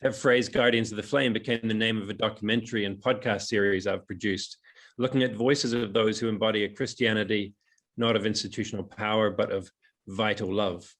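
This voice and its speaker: A man in his forties with a New Zealand accent worn mid-Atlantic by years away, reading a prepared reflection from his house over a video call. His voice sits on the low side, and he speaks in a steady, measured way. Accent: New Zealand, softened toward transatlantic. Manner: hesitant and chatty at the start, then reads measured prepared text. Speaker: webinar speaker. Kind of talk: reflective prepared talk on a webinar. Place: room in his house overlooking a lough. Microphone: video call from home.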